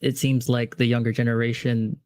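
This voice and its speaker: A man hosts a casual podcast conversation at an ordinary pitch. He rambles, stacking fillers and restarting his sentences. The recording is dry and close. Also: level tone, moderate pace, a little mellow, no room sound. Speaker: podcast host.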